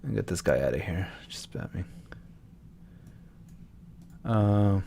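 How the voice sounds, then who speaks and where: A man's voice: deep voice, lively intonation, takes it slowly, vocal fry, dark tone, slight background noise. A young man from Texas, a voice actor chatting casually with viewers on a live stream and answering their questions. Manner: chats loosely, answering viewers' questions as they come.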